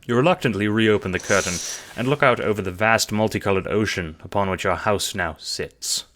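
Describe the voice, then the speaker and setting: A man's voice: on the low side, brisk, fairly bright tone. A man narrating a scripted story in the second person, in the style of an audio drama or audiobook. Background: music.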